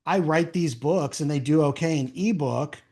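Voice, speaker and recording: A man's voice: a little high, moderate pace, darkish tone. A man hosting a conversational interview, talking in a plain-spoken, explanatory way. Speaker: podcast host.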